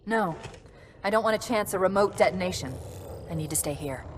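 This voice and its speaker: A woman's voice: on the low side, brisk, a little hiss or hum, close to an everyday voice. A woman playing a smart, resolute AI companion in a video game cutscene, earnest and dryly affectionate. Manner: assured, earnest, dry.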